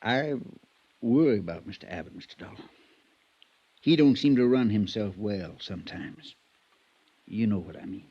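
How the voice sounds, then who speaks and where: A man's voice: on the low side, moderate pace, pauses often, slightly rough. A man playing a loyal, worried stable hand in a 1950s radio drama, speaking in a folksy, hesitant, respectful way. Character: deferential, plain-spoken male stable hand, loyal and worried. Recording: dull, muffled vintage radio recording.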